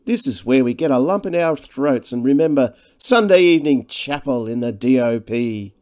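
A man voicing a slender younger gentleman in a storybook, glib, breezy and sardonic. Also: a little high, huge pitch swings, measured pace, pitched above the performer's own voice. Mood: mocking. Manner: breezy, mocking.

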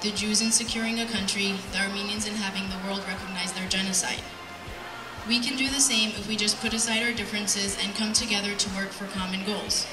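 A young adult woman giving an earnest, measured prepared speech at a podium in an event hall, reading from notes. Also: mid-range voice, fairly even intonation. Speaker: speaker at a community event. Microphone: handheld mic on PA, picked up by distant camera. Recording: roomy, distant sound with music.

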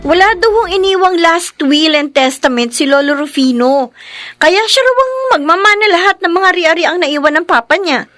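A woman playing a respectful, emotional young adult daughter in a Filipino radio drama, polite and expressive, with a Filipino accent. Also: very high voice, moderate pace. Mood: worried.

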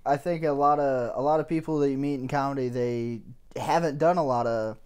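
A man's voice: medium pitch, a little fast, darkish tone, clear, resonant tone. A young man hosting a podcast, chatting in an easygoing way, full of fillers like "like" and "yeah". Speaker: podcast host. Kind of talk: casual podcast chat.

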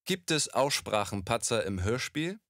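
A man's voice: medium pitch, sing-song, a little slow, fairly bright tone, dry recording, clean studio sound. A man asking short, prepared interview questions. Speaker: off-camera interviewer.

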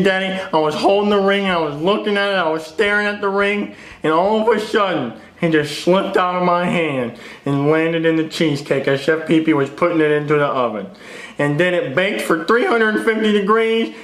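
A man's voice: high voice, reverberant, close to an everyday voice. A man voicing a whiny, apologetic young son puppet in a comedy skit, rambling and pleading.

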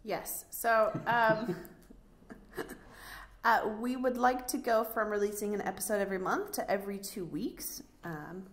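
A woman's voice: mid-range voice, measured pace. A woman co-hosting a podcast, chatting casually and giving show announcements. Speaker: podcast co-host.

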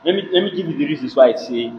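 Young nigerian guy arguing casually, repeats himself a lot, bit high voice, faint gym noise behind. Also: animated, racing, highs cut off. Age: young adult. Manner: emphatic, repeats himself to cut in. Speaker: participant in a music debate. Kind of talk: casual debate chat, English mixed with Pidgin. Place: indoor gym, medium-sized room. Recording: noisy gym room pickup.

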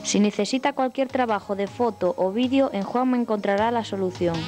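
A woman of medium pitch reads a scripted local advert as a voice-over. Music is clearly heard behind her. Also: fairly quick.

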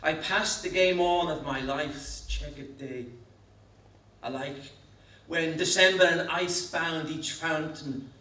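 Middle-aged male poetry reader, higher-pitched, unhurried and expressive, in an echoey hall. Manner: measured, expressive, with dramatic pauses. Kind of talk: dramatic poetry recital. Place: school hall stage before an audience. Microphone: lectern gooseneck microphone. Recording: echoey hall, distant microphone.

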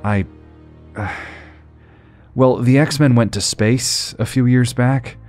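A man playing a stunned young hero leader who speaks haltingly in an audio drama. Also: mid-range voice, a little mellow, soft background music, close to an everyday voice. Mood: shaken. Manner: halting, disbelieving speech.

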